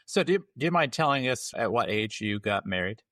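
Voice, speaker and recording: A man hosting a podcast interview, asking earnest, measured questions. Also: mid-range voice, lively intonation, sharp tone, dry recording, studio quality. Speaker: podcast host.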